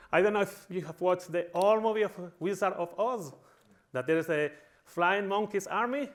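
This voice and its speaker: A man's voice: sits high, fairly bright tone. A man from Spain with a Spanish accent, giving a humorous conference talk in a tongue-in-cheek, mock-villainous manner. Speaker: conference speaker.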